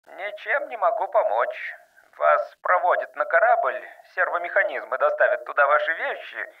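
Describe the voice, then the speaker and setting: A dull loudspeaker voice of a cold government councillor, a male narrator putting him on. He is formal and officious, pitched fairly high. It sounds like an audiobook character on a radio-like public address system. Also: very animated, normal speed. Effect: loudspeaker, radio-like filter.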